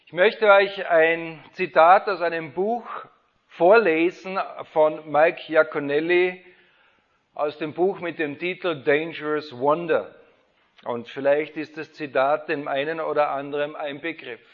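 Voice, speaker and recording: A man preaching, his voice pitched slightly high. He delivers an Easter sermon, reads scripture aloud and presses his points with fervent rhetorical questions. The recording sounds muffled and lo-fi. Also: steady tone, unhurried. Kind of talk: Easter sermon with Bible reading. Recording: dull lo-fi sound.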